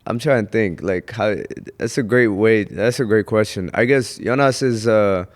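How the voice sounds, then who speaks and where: A young man from Houston, Texas, a comedian guest on a podcast, talking in an unhurried, reflective way with pauses to think. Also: fairly low pitch. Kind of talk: casual podcast interview.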